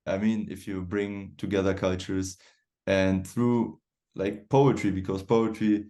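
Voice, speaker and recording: A man's voice: low voice, flat delivery, unhurried, mellow. A man hosting an interview, asking questions in a loose, chatty way.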